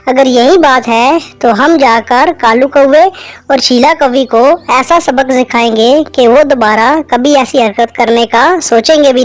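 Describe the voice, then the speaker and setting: A woman voicing a loyal parrot friend in a cartoon moral story. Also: sits high. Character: troubled hungry parrot, loyal friend to birds.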